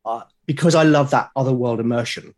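A British man speaks as a guest in a conversational interview. His voice sits at an average pitch for a man. He is reflective and halting, often restarting a phrase as he explains how he works. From Britain. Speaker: podcast guest, creator of a solo role-playing show.

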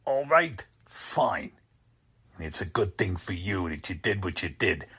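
A low-voiced male actor as a radio drama villain, a con artist with false nobility. He speaks slowly and huskily, with suave, sardonic menace. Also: sing-song, bright, forceful tone, slightly rough, exaggerated voice. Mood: threatening. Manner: suave, sardonic, coldly threatening.

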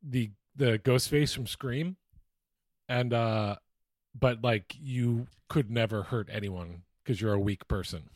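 A man's voice: medium pitch, takes it slowly, dry recording, clean studio sound. An American man co-hosting a podcast in a casual, conversational sports-chat style. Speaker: podcast co-host.

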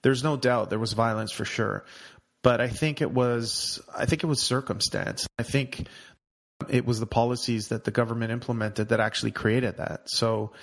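Gravelly-voiced male podcast host. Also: medium pitch, fairly even intonation, normal speed. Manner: enthusiastic, reads a polished guest introduction. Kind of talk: podcast interview.

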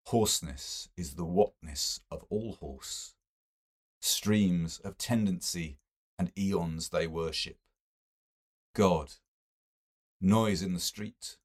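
Man, literary narration, lowish pitch, very unhurried, deliberate, theatrical, expressive. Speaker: audiobook reader.

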